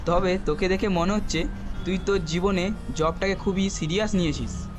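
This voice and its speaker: Comedy audio story role: male actor as sardonic taxi driver pal, high voice, needling, over loud music. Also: steady tone, moderate pace, close to an everyday voice. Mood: teasing. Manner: needling, joking. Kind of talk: comedy audio drama acting.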